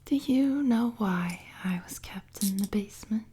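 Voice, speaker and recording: A woman playing an unhinged, obsessive female supervillain in an intimate roleplay audio drama, teasing and savoring each threat in a husky half-whisper. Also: medium pitch, dark tone. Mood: sly, taunting. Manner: slow, teasing, savoring threats, sudden swells into manic intensity. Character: unhinged, obsessive young female supervillain, gleefully menacing prisoner.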